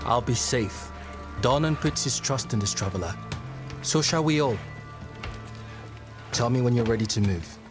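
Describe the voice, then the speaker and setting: An actor playing a calm, brave, trusting village volunteer in a dark fantasy game. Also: male voice, mid-range voice, unhurried, pauses often, over music, lightly acted. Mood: calm resolve.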